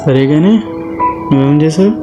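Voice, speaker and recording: A man's voice: fairly high pitch, moderate pace, muffled recording, close to an everyday voice. A young man acting as an awkward, lovestruck guy chatting on the phone in an amateur short film. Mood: casual, self-deprecating. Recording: loud music over the dialogue.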